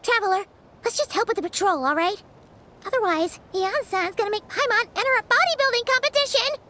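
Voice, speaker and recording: A woman voicing a small, excitable, chatty fairy companion in a video game, with a squeaky childlike voice. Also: brisk, a little hiss or hum, full character voice. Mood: alarmed. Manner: exclaiming, speaks of herself in third person. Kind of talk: animated video game voice acting.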